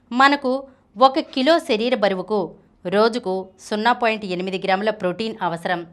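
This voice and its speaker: A woman's voice: medium pitch, fairly bright tone, clean tone. A woman presents a health explainer, reading scripted narration aloud. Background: music.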